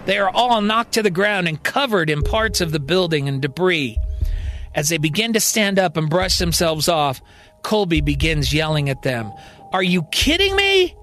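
A male storyteller delivers scripted, dramatic narration for a fiction podcast. His voice is somewhat high for a man, and quiet music runs underneath. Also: normal speed. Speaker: storyteller, podcast writer and performer.

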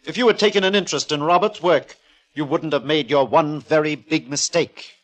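A man's voice: a little high, brisk, close to an everyday voice. A man playing a coolly cutting, condescending art critic in a 1950s radio drama. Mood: cool, accusing.

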